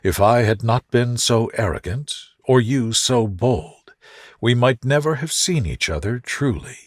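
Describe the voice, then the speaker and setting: A man voicing a reserved, devoted gentleman in love, in a soft murmur for a bedtime story. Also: mid-range voice, animated, unhurried, no room sound, studio quality, pitched above the performer's own voice. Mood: reflective, fond. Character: devoted, humbled, reserved gentleman lover, Darcy. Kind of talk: soothing sleep-story character reading.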